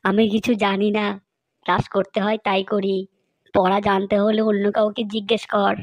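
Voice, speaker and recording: A woman's voice: medium pitch, little pitch movement, moderate pace, no room sound, natural voice, rough recording. A woman voicing a grumpy, evasive, lazy schoolboy in a comedy cartoon, with a whiny, grumbling manner. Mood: annoyed.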